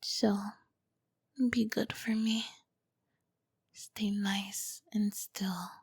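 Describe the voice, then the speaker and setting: A woman voicing a charming, commanding vampire queen in a slow, breathy, seductive whisper for an intimate ASMR roleplay. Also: medium pitch, level tone, dry recording, clean studio sound, exaggerated voice. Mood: commanding, teasing.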